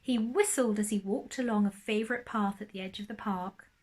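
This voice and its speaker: Female storyteller, mid-pitched, expressive primary teacher reading a bedtime tale to young children. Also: a little fast.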